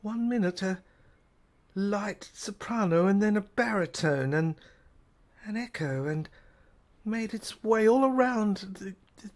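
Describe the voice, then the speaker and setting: A woman narrating a dramatic first-person story in a halting, overwrought manner, often repeating words. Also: mid-range voice. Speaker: narrator reading an audio screenplay.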